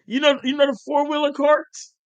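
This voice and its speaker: A man co-hosting a casual podcast who reacts excitedly, his voice jumping up when something surprises him. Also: dull audio. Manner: excitable, quick to react, jumps up in pitch. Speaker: podcast co-host.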